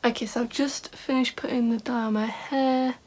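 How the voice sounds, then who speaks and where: A woman's voice: a little high, steady tone, normal speed, music in the background. A young woman vlogging in a casual, rambling way, full of "like" fillers, with a scratchy voice today. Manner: chatty, rambling, many "like" fillers. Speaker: beauty vlogger. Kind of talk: casual chat to camera.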